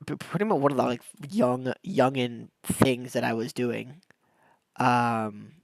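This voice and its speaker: A man's voice: medium pitch, unhurried, vocal fry, dry recording. Congested young man streaming casually. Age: young adult. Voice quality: congested, nasal from a cold. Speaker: game streamer. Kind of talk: casual livestream chat while gaming.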